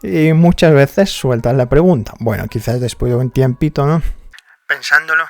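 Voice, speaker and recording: Moroccan man vlogging, relaxed chatty explainer, dry close sound with faint music under it. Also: mid-range voice, normal speed, vocal fry, no room sound. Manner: conversational, many fillers like "digamos" and "¿no?". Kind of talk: casual explanatory vlog.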